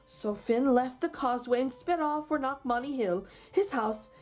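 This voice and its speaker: A woman reading a children's story aloud in an expressive way with dramatic emphasis. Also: high-pitched, normal speed, faint music behind. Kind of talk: expressive read-aloud for children with chatty asides.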